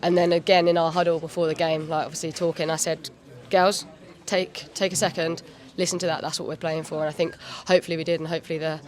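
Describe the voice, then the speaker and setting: A woman team captain giving a halting, modest post-match interview pitchside, full of "like" and "um" fillers. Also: little pitch movement, a little mellow. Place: pitchside at an outdoor stadium.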